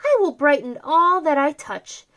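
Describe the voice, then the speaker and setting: Female moon character for a picture book a woman reading, dreamy yet self-assured, high, lively delivery. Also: measured pace, dull audio. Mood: proud, glowing.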